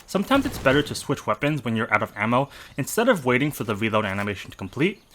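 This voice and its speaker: A man's voice: medium pitch, sing-song, fast talker, a bit bright. A man giving informative gameplay commentary, explaining things methodically as a walkthrough narrator and adding his own opinions.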